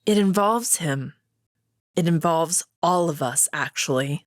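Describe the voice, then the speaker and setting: A woman voicing a calm, stoic female wolf who is sister to the emperor, speaking in a measured way. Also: deep voice, no echo, studio quality. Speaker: guest voice actor.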